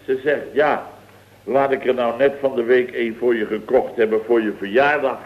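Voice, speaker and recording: An actor playing a blustering, flustered middle-aged boss in an old radio comedy, stammering, repeating himself and grumbling. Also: male voice, medium pitch, steady tone, normal speed, natural voice. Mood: indignant storytelling. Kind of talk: 1970s radio comedy acting. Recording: dull, hissy vintage radio recording.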